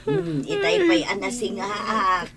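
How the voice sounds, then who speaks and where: An actress playing a kindly, inquisitive older housemaid in a radio drama, speaking with an Ilocano accent. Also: female voice, on the high side, big pitch swings, slow pace, creaky, a crowd in the background, heavily acted. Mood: sleepy, motherly.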